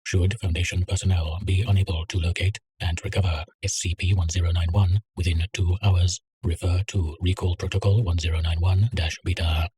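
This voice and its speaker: A man reading a containment document aloud in a measured, clinical way. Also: sits low, flat delivery, mellow. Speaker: narrator. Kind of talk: scripted reading of a containment file.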